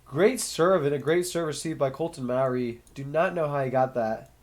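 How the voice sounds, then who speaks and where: A man's voice: fairly high pitch, normal speed. A young man with a slightly nasal, stuffy voice from a cold, casually calling a match play by play on his own and thinking aloud between points. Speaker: sports commentator. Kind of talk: solo play-by-play commentary.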